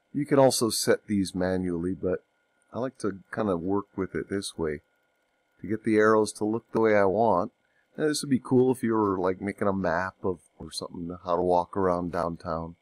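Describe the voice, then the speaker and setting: Male tutorial narrator, slightly stuffy from a cold; low-pitched, dry close mic, relaxed and hesitant. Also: no room sound. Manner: unhurried, thinking aloud with ums and self-corrections. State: getting over a cold. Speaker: software tutorial instructor. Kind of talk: screen-recorded software tutorial.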